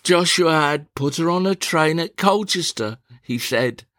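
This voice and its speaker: A man voicing a fussy, fretful middle-aged mother in a one-man reading of a stage play, shrill and prone to outbursts. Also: expressive, unhurried, fairly bright tone, no echo, clean studio sound. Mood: agitated.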